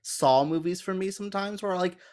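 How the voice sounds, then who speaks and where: Slightly high-voiced man chatting casually. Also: brisk, darkish tone. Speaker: podcast panelist. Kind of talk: casual chat, film and game discussion.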